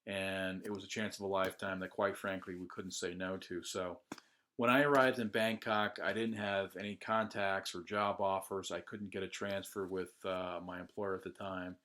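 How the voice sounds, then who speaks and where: An American man talking casually about his own job search, with plenty of "uh" and "um" pauses. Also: low-pitched, little pitch movement, fairly bright tone. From United States. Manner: conversational, with frequent "uh" and "um" pauses. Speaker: job candidate sharing a testimonial. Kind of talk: casual first-person testimonial.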